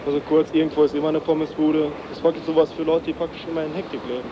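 A man explains things casually and quickly in a rather high voice. The cassette sound is dull and noisy, with street traffic around. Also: level tone. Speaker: interviewee.

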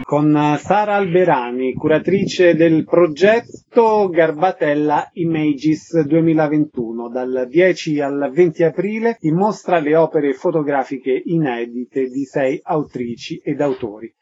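A man with a somewhat high-pitched voice hosts a radio interview. He introduces guests and asks them short questions. The sound is a little dull and lo-fi. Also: expressive, a little slow, hardly any pauses, darkish tone.

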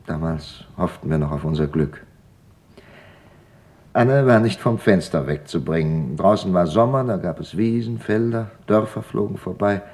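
An actor playing a grieving father who recalls wartime loss in a halting, reflective radio-play testimony. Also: lowish voice, vocal fry, a little mellow. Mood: wistful. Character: grieving father recalling his family's wartime arrest and deportation.

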